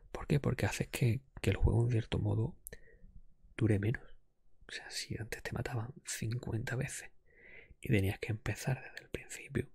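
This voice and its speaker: A man hosting an ASMR podcast monologue in a hushed, breathy whisper, explaining things hesitantly. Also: lowish voice, dry recording. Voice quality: breathy, mostly unvoiced whisper. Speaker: podcast host.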